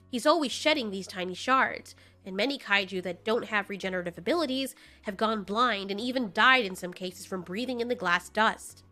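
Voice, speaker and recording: A woman narrates a scripted audio drama with a storyteller's sense of drama. Her delivery is animated and bright, with faint music behind her. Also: a little high.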